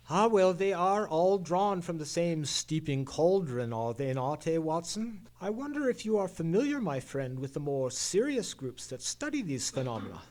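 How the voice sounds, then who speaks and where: A Victorian gentleman sleuth in a radio play. His voice sits fairly high, and he speaks in a formal, precise, musing way with theatrical confidence. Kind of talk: old-time radio mystery play, performed live.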